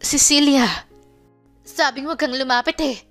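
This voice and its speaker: Female narrator as jilted young lover in romance melodrama; high, angry, tearful, accusing, music underneath. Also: fairly even intonation, normal speed. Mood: hurt, rejecting. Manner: shouting accusations in a tearful, wounded way. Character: young jilted woman, furious and heartbroken. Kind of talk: melodramatic romance story acting.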